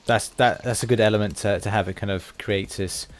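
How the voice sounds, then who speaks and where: A man hosting a casual interview, speaking in a halting, tentative way and often rephrasing himself. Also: lowish voice, steady tone, faint music behind. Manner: halting, tentative phrasing with stumbles. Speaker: interviewer, podcast host.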